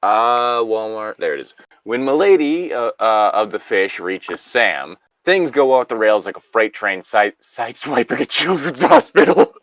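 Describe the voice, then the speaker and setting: A man's voice: medium pitch, big pitch swings, measured pace, a bit bright, no room sound, lo-fi sound. A man casually reading a story aloud as host, breaking off for joking, sweary asides.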